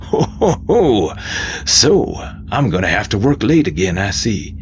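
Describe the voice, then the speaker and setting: A man voicing a gruff, bullying military drill sergeant who barks sardonic taunts in an audiobook. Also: lowish voice, big pitch swings, measured pace, dull audio, a little hiss or hum, voice pushed up. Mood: wry, taunting. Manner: loud, barking, sardonic. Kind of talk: dramatised audiobook character voice.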